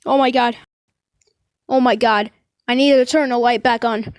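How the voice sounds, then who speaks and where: A woman's voice: fairly high pitch, steady tone, normal speed, dry recording, studio quality. A woman hosting a reaction to a scary video, exclaiming dramatically and repeating herself.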